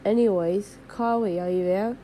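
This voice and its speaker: A woman playing a radio host giving halting, measured emergency updates in a fictional broadcast. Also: medium pitch, fairly even intonation, warm tone, slight background noise, lightly acted. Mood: measured, concerned. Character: female radio host relaying werewolf invasion news.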